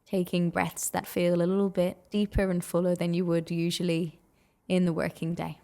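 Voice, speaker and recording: A woman leading a guided meditation in a gentle, soothing, unhurried manner with long pauses. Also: lowish voice, flat delivery, gravelly.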